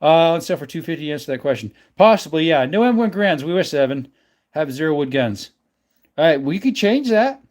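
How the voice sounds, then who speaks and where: A man hosting a casual livestream, reading and answering viewer chat questions in a relaxed, rambling way and thanking viewers by name. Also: on the high side, a little fast. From not from the American South. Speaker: livestream host.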